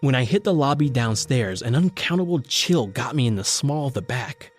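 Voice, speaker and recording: An actor playing a determined, hard-boiled newspaper reporter, earnest and clipped, in an old-time radio noir style. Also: male voice, mid-range voice, animated, normal speed, faint music behind, lightly acted. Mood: tense narration.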